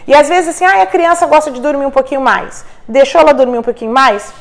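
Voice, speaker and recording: Woman, middle-aged, high voice, encouraging host, mixes English words. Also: fast. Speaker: host, homeschooling mother. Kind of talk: casual encouraging talk to parents.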